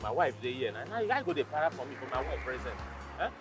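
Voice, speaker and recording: A man's voice: medium pitch, very animated, fast, lightly acted. A man playing a broke, defensive husband. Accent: Nigerian. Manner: defensive, pleading, speaks Pidgin. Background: music.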